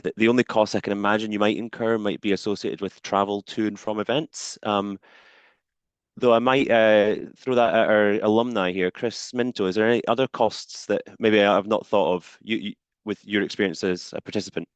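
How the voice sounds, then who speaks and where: A man's voice: lowish voice, steady tone, a little fast, clear, resonant tone, no room sound, highs cut off. A man hosting a video-call webinar, politely moderating questions with frequent hesitations. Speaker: webinar host.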